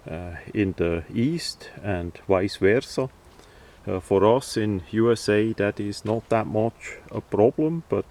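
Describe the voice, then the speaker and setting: A man presenting an informal, unscripted how-to demonstration in a halting, hesitant way. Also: lowish voice, darkish tone. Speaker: video presenter, radio hobbyist.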